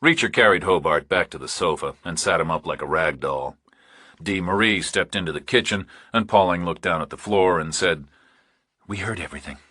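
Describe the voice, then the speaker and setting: A man narrates a thriller audiobook from a script. His voice is very low and husky, and the recording is close and dry. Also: normal speed, bright, forceful tone, dry recording.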